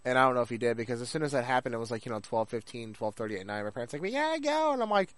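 A man's voice: mid-range voice, little pitch movement, very fast, a bit bright, no echo. A man chats casually as a podcast co-host, his voice slightly toward the middle of the male range. He rambles through his thoughts and quotes remembered remarks in a conversational way. The sound is dry, with very little room. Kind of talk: casual chat about anime.

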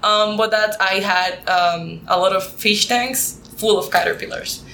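Young woman from Puerto Rico, a Spanish speaker with a Puerto Rican accent; bright, lively, laughing, with a faint hiss behind her. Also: mid-range voice, creaky. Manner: quick, laughing, with restarts. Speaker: guest, PhD candidate. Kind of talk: casual interview talk. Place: at a conference.

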